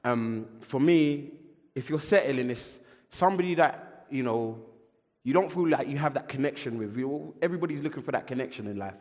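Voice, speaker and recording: Panelist: London man, late 20s, mid-pitched, measured, reverberant room. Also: expressive, a little mellow. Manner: measured, explanatory.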